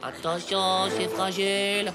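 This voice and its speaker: A woman voicing a cheeky ten-year-old schoolboy in an animated cartoon, with slangy, melodramatic exclamations. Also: mid-range voice, slow, loud music behind the voice, close to an everyday voice. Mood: scheming excitement.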